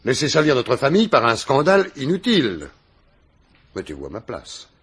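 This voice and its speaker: An actor playing a proud, haughty gentleman in a radio mystery, speaking formally and stiffly. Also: mid-range voice, huge pitch swings, moderate pace, slightly rough, full character voice. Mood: earnest appeal. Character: haughty, proud, indignant upper-class gentleman defending family honour. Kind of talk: French radio mystery play acting.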